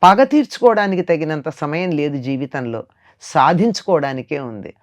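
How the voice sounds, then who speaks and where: A woman's voice: sits low, lively intonation, normal speed. An older woman giving a moral discourse in conversation as a spiritual teacher, emphatic and repeating phrases to drive points home.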